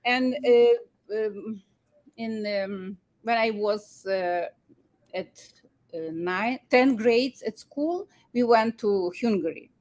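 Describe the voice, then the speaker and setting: A middle-aged woman from Ukraine speaks with a Ukrainian accent in a somewhat high voice. Her English comes slowly, broken by uhs and restarts as she talks as a guest on a chat show. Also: a little mellow. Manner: halting non-native English, many uhs and restarts. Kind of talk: casual interview chat.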